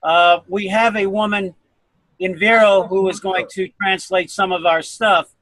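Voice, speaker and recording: Hesitant man with a fairly high voice. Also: fairly even intonation, measured pace, a bit bright, no echo, rough recording. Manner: hesitant, many fillers. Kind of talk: casual group call.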